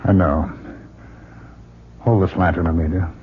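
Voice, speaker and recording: A stubborn, troubled doctor and father in old radio drama. His voice is fairly low, intense and defiant, heard through dull, noticeably noisy broadcast sound. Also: steady tone, a little slow. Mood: somber.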